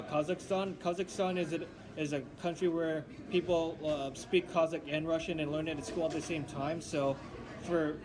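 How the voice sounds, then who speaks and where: A young man studying linguistics, chatting casually in a halting way with many restarts and fillers. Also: fairly high pitch. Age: young adult. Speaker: linguistics student. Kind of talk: casual chat.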